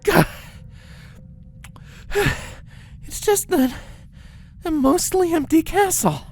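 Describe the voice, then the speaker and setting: A woman's voice: very high-pitched, full character voice. A woman voicing an exasperated, scheming young female unicorn pony who mutters to herself in a storybook reading. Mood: breathless, exasperated. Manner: flustered, muttering to herself, drawn-out expressive delivery. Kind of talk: acted storybook dialogue in a fan fiction reading.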